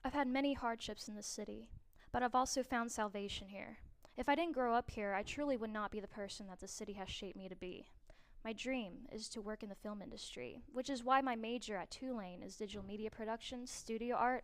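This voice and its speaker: Young woman reading a smooth personal essay. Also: medium pitch, brisk. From New Orleans, USA. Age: young adult. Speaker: student. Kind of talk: scripted personal narration.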